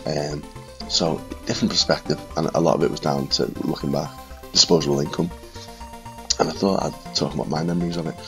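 A man in his thirties with a northwest English accent and a cold, reminiscing quietly and casually to camera in a room at home. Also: extremely low pitch, level tone, a little fast, creaky, music in the background. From Liverpool and Widnes, England. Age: 30s. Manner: quiet, subdued late-night talking. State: congested with a cold. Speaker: retro gaming video maker. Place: small furnished room at home, indoors.

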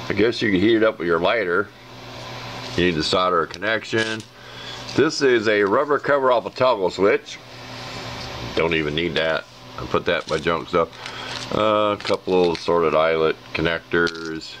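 Deep-voiced rambling man. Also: normal speed, fairly bright tone, a little hiss or hum. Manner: rambling, plain-spoken, mechanic's know-how. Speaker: channel host showing ashtray contents. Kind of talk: casual show-and-tell vlog. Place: indoors at a table.

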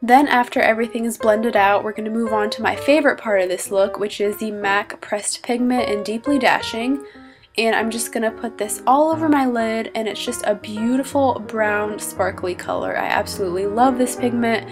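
A woman's voice: on the high side, normal speed, over music. A young woman with a stuffy cold, chatting upbeat through a makeup tutorial in her small bedroom and naming each product as she goes. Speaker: beauty vlogger.

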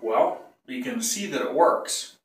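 An older man with a cold teaches bagpipe technique in a casual, rambling way. He keeps clearing his throat and stops to correct himself. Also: sits high, big pitch swings, slow, faint music behind. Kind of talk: casual tutorial. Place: small tiled bathroom. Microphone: phone or camera some feet away. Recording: echoey bathroom, voice from a distance.

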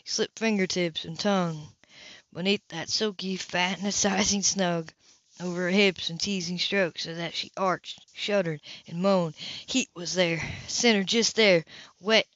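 A woman's voice: on the low side, fairly even intonation, a little slow, a bit bright, dry recording, highs cut off. A woman reading a romance novel aloud as an amateur narrator, now and then stumbling over words and correcting herself. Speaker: amateur audiobook reader.

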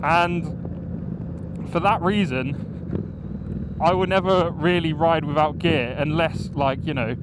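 A man's voice: fairly high pitch, takes it slowly, pauses often. A young man talking casually about motorcycle gear from his helmet while riding on country roads. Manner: relaxed, chatty, recommends gear with many fillers. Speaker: motovlogger. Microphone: helmet mic under a full-face helmet. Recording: loud wind and engine noise. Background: motorcycle engine and road wind.

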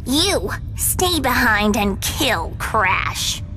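A woman's voice: fairly high pitch, big pitch swings, drawn-out words, pushed and bright, faint music behind, full character voice. A woman voicing a bossy, sarcastic teenage girl villain in a video game.

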